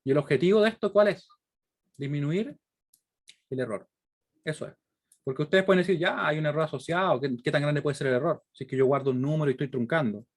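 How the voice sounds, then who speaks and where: A male university lecturer with a Chilean accent and a slightly high-pitched voice. He explains in a didactic way, thinking through worked examples aloud. He keeps stopping to put short check-in questions to his students.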